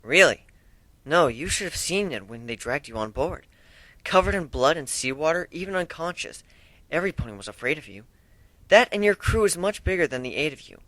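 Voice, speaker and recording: A man's voice: a little high, sing-song, fairly quick, a bit bright, no room sound, pitched above the performer's own voice, low-quality recording. A man voicing a studious, earnest young female pony magician who explains and lectures, in a cartoon fan story. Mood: earnest explaining.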